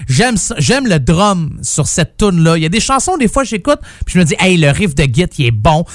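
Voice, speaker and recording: A man with a youthful, high-pitched voice and a Québécois French accent, chatting informally and enthusiastically about music as a show host. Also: sing-song, moderate pace, no room sound, studio quality. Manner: informal, self-deprecating, enthusiastic. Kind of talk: casual chat about music.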